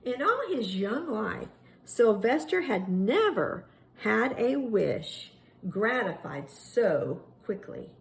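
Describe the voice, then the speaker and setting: Animated woman reading aloud in an echoey room. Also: a little high, takes it slowly, vocal fry. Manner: sweet, dramatic storytelling for young children. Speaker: storybook reader. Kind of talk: expressive read-aloud of a children's picture book. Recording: echoey room with faint background noise.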